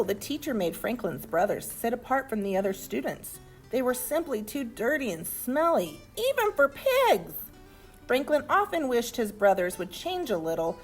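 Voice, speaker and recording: A woman reads a children's storybook in an expressive, deliberate storytelling manner. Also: a little high. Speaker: storybook reader. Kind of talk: animated children's story narration.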